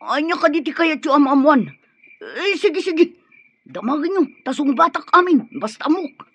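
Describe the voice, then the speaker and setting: An actor with a Filipino accent playing a surly, cagey, cornered gang henchman with a husky voice in a radio drama. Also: high voice, animated, normal speed, pushed and bright, no echo. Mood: grudging confession.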